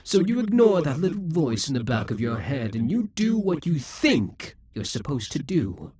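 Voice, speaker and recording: A man playing a husky, possessive demon king. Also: on the high side, big pitch swings, normal speed, a little mellow, dry recording, studio quality. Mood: seductive menace. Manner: taunting, possessive, drops to whispers. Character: proud possessive male demon king, scheming. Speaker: voice actor in an audio roleplay. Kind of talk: audio roleplay acting.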